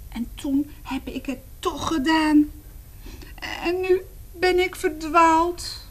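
A woman voicing a small lost boy in a read-aloud picture book, higher and urgent. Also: slow pace. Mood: anxious.

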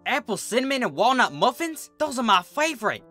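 A reader plays an easygoing female farm pony in a storybook. She speaks in a plain, folksy Southern US drawl, bright and animated, with music underneath. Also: medium pitch, brisk, voice pushed up. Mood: delighted. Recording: music behind the voice.

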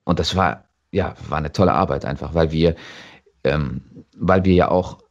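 Deep-voiced German man, slightly dull sound. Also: normal speed. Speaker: voice actor, interview guest. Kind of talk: reflective interview talk.

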